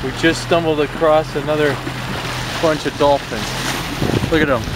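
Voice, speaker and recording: A man filming from a small sailboat at sea, narrating with excitement and calling out cheerfully to dolphins. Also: moderate pace. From Phoenix, Arizona, US. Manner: excited, coos and calls out to dolphins. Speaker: amateur sailor filming. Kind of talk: excited home-video narration. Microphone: handheld camera mic. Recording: loud wind rumble on camera mic.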